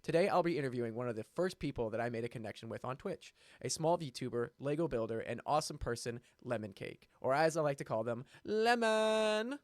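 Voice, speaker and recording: A man hosting a casual interview, upbeat and quick to laugh. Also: mid-range voice, lively intonation, dry recording, clean studio sound. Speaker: host.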